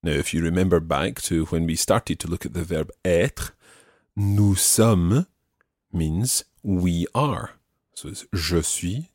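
A man with a deep, husky voice teaches French, taking his time and repeating each phrase. His voice is close and dry, with no room around it. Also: darkish tone, no room sound. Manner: patient, repeats phrases slowly. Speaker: language teacher. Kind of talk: explaining French phrases in English.

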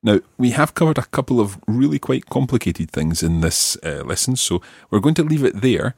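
A man's voice: mid-range voice, normal speed, slightly rough, no room sound, studio quality. A man hosting a language lesson podcast, explaining grammar patiently and encouragingly. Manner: patient, encouraging, lively intonation. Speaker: teacher and podcast host.

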